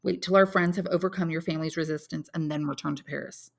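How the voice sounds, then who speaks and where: An honorable, lovelorn young man from a classic novel read by a woman, earnest and intense. The voice sits fairly low with a creaky edge, solemn and grimly resolute. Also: fairly even intonation, fast talker. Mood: controlled, persuasive. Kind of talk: dramatic audiobook character reading.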